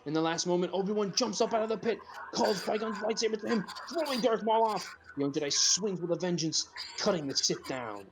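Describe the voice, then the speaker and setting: A man's voice: high-pitched, animated, a little fast, hardly any pauses, background noise. A man reading screenplay directions aloud as the narrator of a group script reading.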